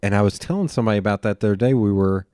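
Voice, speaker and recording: A man's voice: lowish voice, rapid-fire, no room sound, clean studio sound. A man from Knoxville with an East Tennessee accent, hosting a podcast and chatting informally with his guest. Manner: chatty and informal, slips into animated retellings. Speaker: podcast host. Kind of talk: casual interview conversation.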